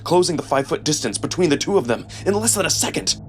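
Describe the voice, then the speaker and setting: A man reading a first-person story as dramatic, expressive scripted narration with a sense of suspense. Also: on the high side, fast talker, a little hiss or hum. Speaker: narrator and performer.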